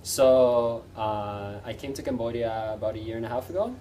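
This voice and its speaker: A man's voice: mid-range voice, moderate pace, dark tone, distant mic, a little hiss or hum. Dutch man, hesitant interview guest. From Netherlands. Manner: hesitant, many ums, laughs at himself. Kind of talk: casual interview chat.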